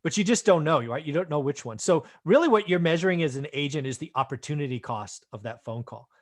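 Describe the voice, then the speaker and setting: A man with a voice a little higher than average talks through business points as an investor and host. He explains step by step in a conversational, affirming way and often tags his points with a short question. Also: lively intonation, fast talker. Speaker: real estate investor and show host.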